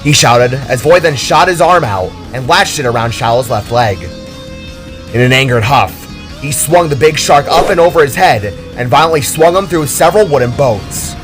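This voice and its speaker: A man's voice: mid-range voice, lively intonation, normal speed, a bit bright, soft background music. A man reading scripted fiction as a dramatic story narrator.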